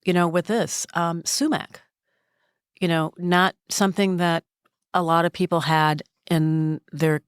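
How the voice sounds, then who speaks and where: A woman in her sixties from Minnesota with a low, smoky voice, speaking softly and reflectively as a podcast guest. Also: fairly even intonation, a little slow, clear, resonant tone, dry recording, studio quality. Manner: soft-spoken, reflective storyteller. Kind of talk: casual conversation. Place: recording studio.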